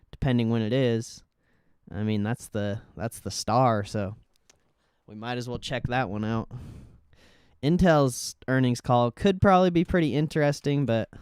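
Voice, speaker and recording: Male streamer for casual live commentary; informal and slangy, slow delivery, dry close-miked sound. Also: medium pitch, clear, resonant tone, dry recording. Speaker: livestream host.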